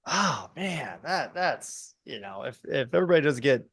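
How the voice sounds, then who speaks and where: A man's voice: mid-range voice, big pitch swings, moderate pace, vocal fry, a bit bright, breathy edge, no room sound, clean studio sound. A man hosting a podcast, reflecting on his guest's story and affirming it in a casual chat. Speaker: podcast host.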